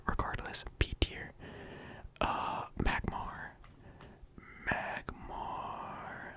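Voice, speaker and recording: A person whispering casual, rambling ASMR-style commentary as a video host, in a hesitant and playful manner. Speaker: video host ranking game characters.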